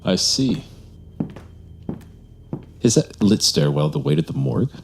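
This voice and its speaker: An actor playing a suave, insistent homicide detective in an audio drama, formal and smoothly polite. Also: male voice, low voice, sing-song, unhurried, exaggerated voice. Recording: music under the voice.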